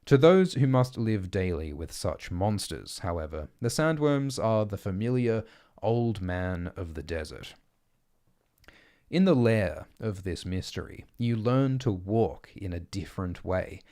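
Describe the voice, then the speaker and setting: A man with a low-pitched voice reads prepared prose aloud in the style of an audiobook. His delivery is deliberate and measured, with carefully articulated phrases. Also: lively intonation, mellow. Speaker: audiobook reader. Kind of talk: scripted reading of essays and letters.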